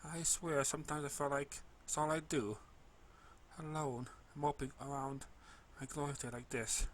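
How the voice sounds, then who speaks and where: A man reads a first-person story as its narrator. His mid-range voice is bright and forceful, and he delivers the lines expressively, occasionally stumbling over a word. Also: mid-range voice, level tone, moderate pace.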